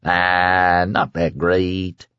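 A man's voice: a little high, big pitch swings, normal speed, a bit bright, slightly rough, no echo, dull audio, voice pushed up, full character voice. A man voicing a manic, swaggering young bully in an audiobook, cursing, boastful and explosively bad-tempered. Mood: smug, menacing. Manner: screaming, cursing, boastful and patronizing.

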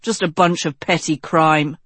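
A woman's voice: low-pitched, steady tone, measured pace, a bit bright, breathy edge, no room sound, heavily acted. Young king, read by a woman: proud, blunt, defensive, scornful; fantasy audiobook, dry studio sound. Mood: dismissive, irritated.

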